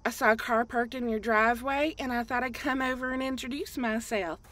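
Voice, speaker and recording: A woman's voice: fairly high pitch, steady tone, a little fast, a bit bright, natural voice. A woman playing a reserved, uneasy older widowed neighbor in an amateur radio drama. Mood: polite, curious.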